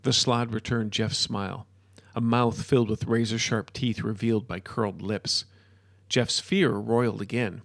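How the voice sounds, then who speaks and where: A man's voice: on the low side, fairly even intonation, a little slow, gravelly. A man reading scripted narration as the narrator of an audio story.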